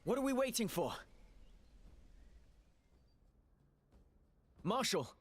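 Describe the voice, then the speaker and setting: A man playing a grim, urgent adventurer leading his party in a fantasy game, terse and decisive, with a husky voice. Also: very high voice, big pitch swings, brisk, full character voice. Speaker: game character, leader of adventurers. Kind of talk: fantasy video game cutscene acting.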